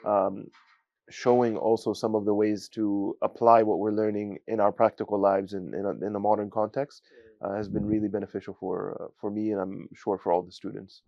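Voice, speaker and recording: A young man from giving a student testimonial, talking haltingly with many fillers and Arabic phrases. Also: on the low side, flat delivery, vocal fry, no room sound, lo-fi sound. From United States. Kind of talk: casual spoken testimonial.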